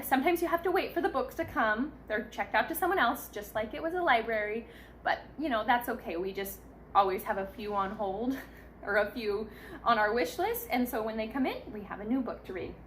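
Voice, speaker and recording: A woman's voice: fairly high pitch, animated, fairly quick, fairly bright tone, slight background noise. A woman in her thirties, a mother giving casual, encouraging tips in a chatty vlog style. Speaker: mother sharing parenting tips. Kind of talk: casual instructional vlog talk.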